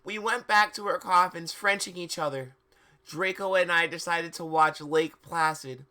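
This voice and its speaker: Bright voice, livestream reader, comic dramatic narration, mocking asides, theatrical, casual. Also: medium pitch, unhurried. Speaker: livestream host reading fan fiction.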